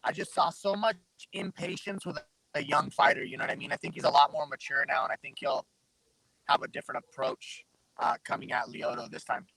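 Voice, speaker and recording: A man from Southern Arizona talks in a loose, rambling way, scattering fillers as he goes. His voice sits a bit high for a man. He comes through a remote call mic that pops now and then. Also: a little fast, gravelly, sharp tone, rough recording. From Southern Arizona, USA. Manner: laid-back, rambling, full of fillers. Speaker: guest, MMA fighter. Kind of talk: casual interview chat. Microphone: remote call mic with popping.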